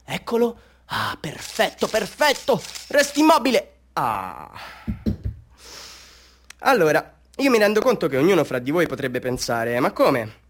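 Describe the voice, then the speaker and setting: An actor playing a chatty, self-mocking Bolivian street photographer hustling tourists, with ingratiating, mock-grand patter in a theatrical radio monologue. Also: male voice, a little high, wildly swooping pitch, unhurried, exaggerated voice. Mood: coaxing. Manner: showman patter, ingratiating, mock-grand, swinging into wry asides.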